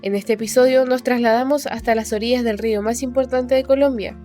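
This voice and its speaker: A young woman opens a podcast with earnest scripted narration and reads at a steady pace. Faint music sits under her voice. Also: on the high side, a little fast. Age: young adult. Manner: earnest, steady reading with rhetorical questions. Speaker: podcast host.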